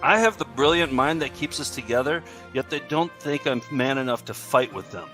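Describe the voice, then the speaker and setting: A man's voice: medium pitch, animated, moderate pace, a bit bright, faint music behind. A man hosting a group table read with casual, jokey, interjecting chat. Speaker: show host running a table read.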